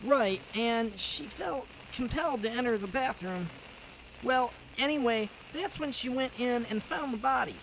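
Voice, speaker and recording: A woman's voice: on the high side, a little slow, gravelly, a bit bright, slight background noise, low-quality recording. A woman playing a matter-of-fact, skeptical police officer in an old-time radio drama.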